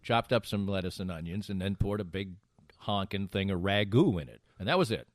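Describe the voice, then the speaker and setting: A man's voice: low voice, animated, fairly quick, dry recording, clean studio sound. A middle-aged man in casual banter, rambling and emphatic, often repeating himself as he goes. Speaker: radio show and podcast host.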